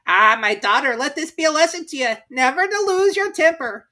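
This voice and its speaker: A woman's voice: high-pitched, expressive, normal speed, pushed and bright, voice pushed up. A woman voicing an old, moralizing mother crab with an admonishing manner in a storybook reading. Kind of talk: children's storybook character reading.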